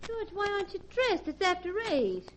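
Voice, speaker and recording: An actress playing Kitty, a sassy, scheming young wife in a 1940s radio drama. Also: female voice, very high voice, very animated, moderate pace, background noise. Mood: petulant. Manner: brassy, sassy, slangy.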